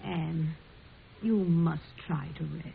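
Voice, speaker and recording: A woman's voice: deep voice, takes it slowly, gravelly, slightly rough, soft background music, heavily acted. An actress playing an anxious, devoted woman companion in an old-time radio drama. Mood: worried, tender. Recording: tinny, hissy old radio recording.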